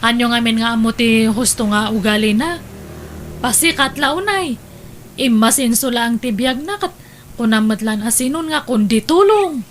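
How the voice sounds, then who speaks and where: A woman's voice: on the high side, moderate pace, a little hiss or hum, natural voice. A woman playing a resentful, needy younger sister with a grudging manner in a Filipino radio drama. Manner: sullen, grudging. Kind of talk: Ilocano radio drama acting.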